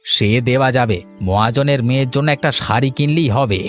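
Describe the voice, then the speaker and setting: A man playing a stingy, domineering village father in a cartoon, gruff and scolding. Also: mid-range voice, a little fast, soft background music, lightly acted. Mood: irritated, stern. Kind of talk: Bengali cartoon voice acting.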